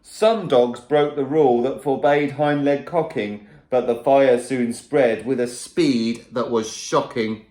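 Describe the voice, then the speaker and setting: Playful teacher reading a rhyming story. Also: male voice, medium pitch, slow pace, few pauses, dark tone, reverberant. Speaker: primary school teacher. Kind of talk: reading a rhyming picture book aloud to children.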